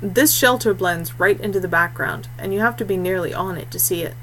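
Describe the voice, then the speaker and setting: A woman's voice: medium pitch, brisk, a bit bright, close to an everyday voice. Woman reading as an understated young male scout, noisy recording. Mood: calm, dry.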